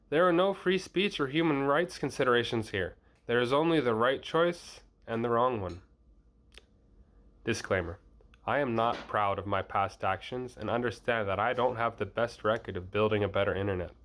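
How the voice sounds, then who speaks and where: A man's voice: mid-range voice, fairly bright tone. A man reading a written piece aloud, sometimes stumbling over words and slipping into casual asides. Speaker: host reading a blog post aloud.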